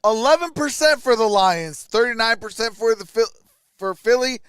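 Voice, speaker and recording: A male sports streamer calls the game live in a high-pitched voice with a bright, forceful edge. His delivery is casual and chatty, moving between play calls and informal asides. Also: animated, normal speed, smooth, no echo.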